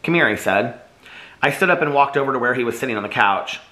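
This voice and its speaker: Bright-voiced man reading his own book. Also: medium pitch, quick pace. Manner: chatty, friendly intro, unpolished read-through with small restarts. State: slightly losing his voice. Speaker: author reading his own novel aloud. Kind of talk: casual chat, then reading a novel aloud.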